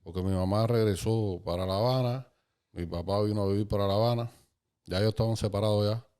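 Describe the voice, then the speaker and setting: Cuban guy in his 50s, low warm voice, takes his time telling old stories. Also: smooth. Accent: Cuban Spanish. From Cuba. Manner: calm, unhurried, recalling dates and places. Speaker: guest. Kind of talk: casual interview storytelling.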